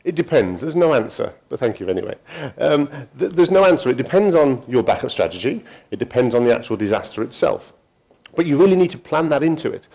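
A middle-aged man giving a technical conference talk in a hall, pausing often with ums and restarting sentences. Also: medium pitch, very animated, gravelly, a little mellow. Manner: halting, many ums and restarts. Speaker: conference speaker.

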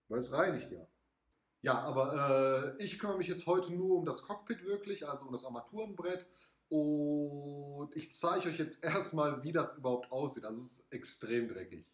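A young man hosting a casual product review in his home garage, relaxed and chatty with frequent fillers. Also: a little high, breathy edge. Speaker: car care channel host. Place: small home garage, indoors.

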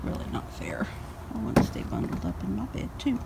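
A woman's voice: a little fast, vocal fry, very noisy. An older woman talking to her dogs and chickens while doing morning chores in the backyard, filming on a handheld phone. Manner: talks sweetly to dogs and chickens in a high sing-song. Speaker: vlogger. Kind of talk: casual narration while doing farm chores. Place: backyard and shed at night, outdoors. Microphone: handheld phone camera.

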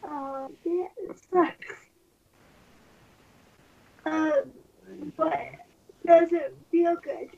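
A woman from the United States in an intimate couple roleplay, cooing in a drawn-out, babyish way on a lo-fi recording. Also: high-pitched, steady tone, gravelly. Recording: muffled playback of a lo-fi video.

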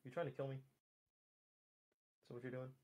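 A woman's voice: very deep voice, steady tone, brisk, darkish tone, dull audio. A woman chatting casually on a livestream in a playful, joking way. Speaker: stream co-host. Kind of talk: casual chat.

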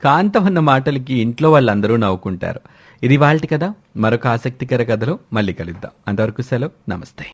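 A man's voice: mid-range voice, sing-song, fairly quick. A man narrating a scripted family story as a storyteller.

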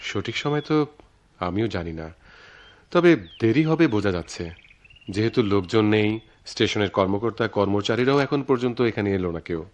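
A man's voice: lowish voice, lively intonation, a little fast, long pauses, close to an everyday voice. A man with a Bangladeshi accent acting a reassuring young man in love in a radio drama, patient and a little theatrical. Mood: reassuring, playful. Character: reassuring young man eloping with his sweetheart.

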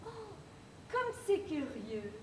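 An actress playing a vague, dreamy lady who marvels in drawn-out wonder at every coincidence on a stage. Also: high voice, sing-song, a little hiss or hum, full character voice. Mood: bemused. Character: dazed, vague lady meeting a stranger. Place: theatre stage. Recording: echoey stage from far off.

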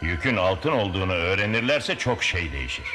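Bold, animated cartoon sea captain. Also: male voice, deep voice, a little fast, soft background music, exaggerated voice. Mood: urgent, resolute. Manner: dramatic, authoritative. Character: bold, cautious seafaring captain, commanding and resolute. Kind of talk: dubbed cartoon acting.

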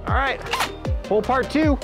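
A man's voice: very high voice, normal speed, over loud music. A man in his thirties hosting a casual how-to video, chatty and joking, filmed in a garage and outside a house. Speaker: DIY video host. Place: garage and outdoors beside a house.

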